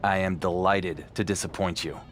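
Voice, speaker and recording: Low-voiced, dry, defiant male wrestling champion played by an actor. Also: fairly even intonation, normal speed, a little hiss or hum, close to an everyday voice. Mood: wry, guarded. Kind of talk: scripted video game cutscene acting.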